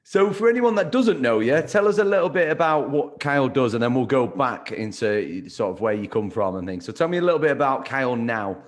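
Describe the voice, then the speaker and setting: A man's voice: mid-range voice, animated, a little fast, few pauses. A man hosting a podcast, asking questions in a friendly, casual way with stumbling restarts. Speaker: podcast host. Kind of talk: casual interview chat.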